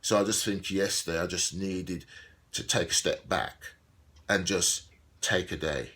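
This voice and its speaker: A man, an actor, talking through his thoughts in a video diary, with a slightly croaky voice and a musing, repetitive way of speaking. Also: sits low, unhurried, bright, forceful tone. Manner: musing, repeats himself, digresses. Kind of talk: reflective casual monologue.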